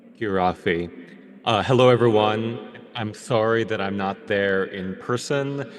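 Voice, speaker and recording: Man from New York, low voice, fluent and confident remote panelist. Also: a little slow. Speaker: panel speaker. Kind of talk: remote conference talk.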